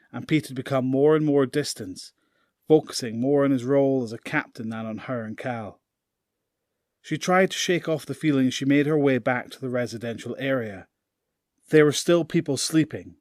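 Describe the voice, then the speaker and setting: A man giving measured scripted narration for an audio drama. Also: medium pitch, no echo, studio quality. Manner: measured, storytelling. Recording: narration over music. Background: music.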